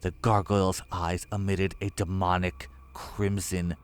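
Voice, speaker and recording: Deep-voiced man narrating a horror story. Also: little pitch movement, a little slow, smooth.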